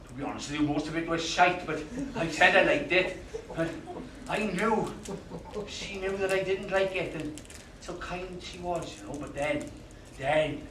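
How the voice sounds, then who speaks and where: An actor playing a volatile, violent jilted man. He swears heavily and swings from hushed confiding to roaring rage. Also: on the high side, slight background noise. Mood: wistful. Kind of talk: theatrical monologue. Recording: echoey room, distant mic, music behind.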